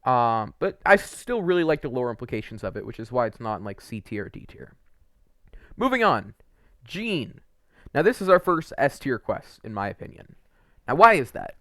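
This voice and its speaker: A man's voice: mid-range voice, sing-song, normal speed, a bit bright, no echo, studio quality. A young adult man giving casual, opinionated video game commentary in an informal, slangy, rambling way.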